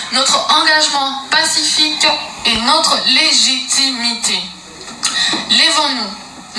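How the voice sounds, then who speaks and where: High-voiced woman declaiming in an echoey hall. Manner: solemn, emphatic oratory. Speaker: press conference organizer. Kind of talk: formal political declaration. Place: meeting room in Brussels. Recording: echoey room from far off, noisy.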